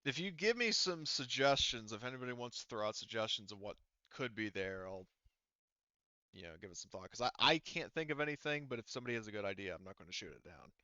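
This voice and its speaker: A man with a smooth, clear voice runs a tabletop roleplaying game. He talks casually and thinks aloud as he improvises. The sound is close and dry, though a little dull. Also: medium pitch, expressive, quick pace, pushed and bright, dry recording. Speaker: game master.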